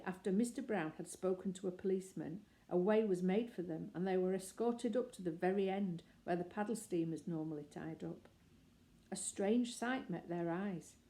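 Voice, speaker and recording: Woman reading a storybook, mellow tone. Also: mid-range voice. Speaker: narrator.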